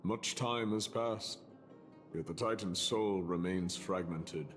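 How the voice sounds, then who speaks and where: A man playing a grave, resolute warrior prince in a video game, speaking solemnly in formal, measured phrases. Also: deep voice. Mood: grave, brooding. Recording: music behind the voice.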